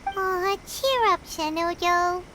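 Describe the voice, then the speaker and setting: A woman voicing a small, candid fairy girl companion in a game, with a squeaky, childlike voice. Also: slow, bright, forceful tone, slight background noise, full character voice. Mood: cheerful. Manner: informal, candid.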